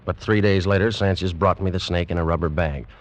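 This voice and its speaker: An actor playing a determined male animal collector who gives brisk, dramatic first-person narration in a 1940s radio drama. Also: low-pitched, steady tone, lightly acted. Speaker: narrator and lead. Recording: dull old radio recording with music.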